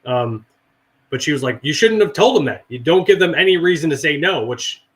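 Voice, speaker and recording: A middle-aged man with a hoarse, raspy voice from a cold, rambling and swearing casually in a relaxed chat. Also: on the high side, brisk. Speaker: veteran guest and actor.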